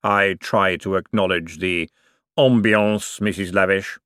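A man voicing Death as a solemn, formal, patient spirit, with slow measured speech in an audiobook. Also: low voice, steady tone, fairly bright tone, no echo, studio quality. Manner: slow, measured, grave delivery.

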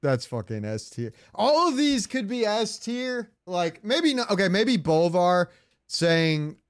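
A man's voice: sits high, slow, clean tone, no echo, clean studio sound. A man hosting a podcast in casual fan chat, talking freely and profanely. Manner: profane, animated retelling with quoted lines. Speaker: podcast host.

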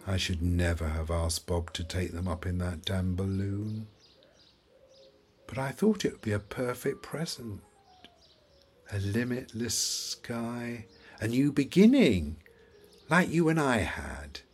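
Actor as grieving old father, low swooping voice. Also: animated, takes it slowly, a little hiss or hum. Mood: wistful, grieving. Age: older. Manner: emotional, swinging between snappish and wistful. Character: grieving, irritable elderly widowed father, wracked with guilt. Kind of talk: audio play acting.

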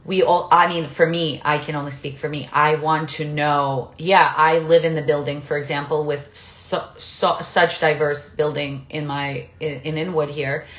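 Woman w a deep-ish voice chatting about her own life, stop-start phrasing, echoey muffled audio. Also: steady tone, moderate pace, few pauses, fairly bright tone. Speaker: interview participant, writer and parent. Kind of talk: personal, conversational talk.

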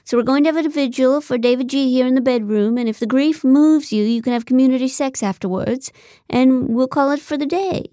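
A woman's voice: high-pitched, brisk, warm tone, no echo, clean studio sound, voice pushed up, natural voice. A woman voicing a pompous male director in an audiobook, with a fake cockney-like British accent and breezy, patronising showbiz talk. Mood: breezily consoling.

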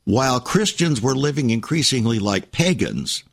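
A male radio host with a slightly raspy voice steers a conversation, summing up his guest and asking pointed questions. His pitch moves around a lot, giving him an animated delivery. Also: normal speed. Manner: asks leading questions, sums up the guest. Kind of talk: conversational interview.

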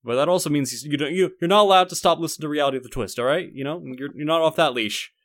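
A man's voice: fairly high pitch, fast talker, no room sound, clean studio sound. A man hosting a podcast in casual chat, talking in a rambling, hesitant way. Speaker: podcast host.

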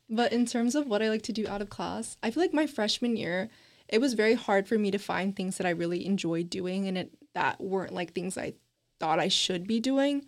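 A woman's voice: mid-range voice, moderate pace. A woman in her twenties, a college student chatting casually on a panel, talkative and emphatic with frequent laughs. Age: 20s. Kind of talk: casual group chat.

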